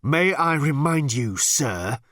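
A man's voice: high voice, wildly swooping pitch, normal speed, pushed and bright, breathy edge, dry recording, clean studio sound, pitched above the performer's own voice, full character voice. A man voicing a frustrated, officious alien second-in-command in a comic science fiction audiobook. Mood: seething. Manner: squealing, screaming, hissing officiousness.

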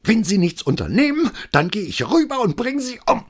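A man voicing a furious, near-hysterical woman caller whose voice grows shrill with rage. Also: big pitch swings, normal speed, a little mellow. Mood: shrieking.

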